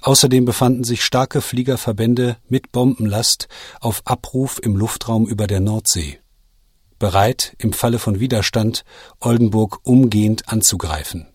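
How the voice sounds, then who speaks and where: A man guiding the listener through scripted narration, giving deliberate instructions as an audio walk narrator. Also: fairly low pitch, steady tone, darkish tone, husky, no room sound, studio quality.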